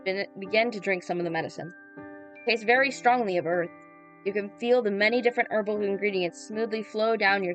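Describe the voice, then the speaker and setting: A woman's voice: medium pitch, dry recording, low-quality recording. A woman livestream host reading a visual novel aloud, with playful casual reactions. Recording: background music under the voice. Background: music.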